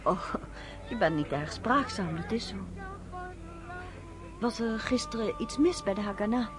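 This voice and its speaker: A woman's voice: mid-range voice, lively intonation, unhurried, music in the background, lightly acted. An actress playing a spirited, plain-spoken woman visitor in a radio drama. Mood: teasing, concerned.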